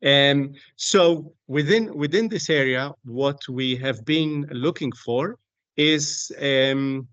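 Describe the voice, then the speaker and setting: A man speaking as an expert guest in an explanatory interview, deliberate and halting, often repeating words as he searches for phrasing. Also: medium pitch, fairly bright tone, no echo, dull audio. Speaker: aviation technology company expert, interview guest. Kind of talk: explanatory interview talk on a technical subject.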